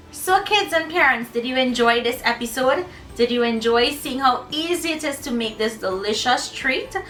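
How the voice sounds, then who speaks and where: Lady doing a kids cooking demo, highish voice, explains steps, bit of music behind. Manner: instructive, addresses children directly. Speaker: cooking presenter for children. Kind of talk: friendly recipe demonstration.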